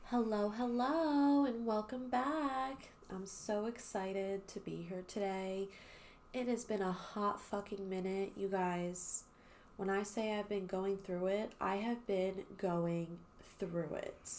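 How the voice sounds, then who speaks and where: A young woman talks casually on her podcast, rambling with plenty of fillers and the odd swear word. Her voice is mid-pitched and a bit nasal, as if she has a cold. A faint noise sits under the recording. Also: steady tone, slow pace, a little mellow. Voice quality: slightly nasal, congested. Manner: chatty, swears freely, many fillers like "um" and "like". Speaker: podcast host. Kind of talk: casual chat.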